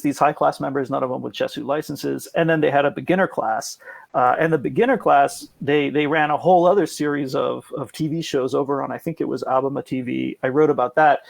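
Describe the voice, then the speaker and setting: A man in casual podcast conversation, explaining things in a rambling, hedging way with a gravelly, husky voice. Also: mid-range voice, fairly quick, no echo. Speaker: podcast guest explaining esports.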